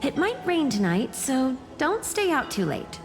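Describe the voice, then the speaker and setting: A woman's voice: high-pitched, big pitch swings, measured pace, full character voice. A woman playing a kindly, devoted village schoolteacher in a fantasy video game. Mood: kindly, gentle. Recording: clear music behind.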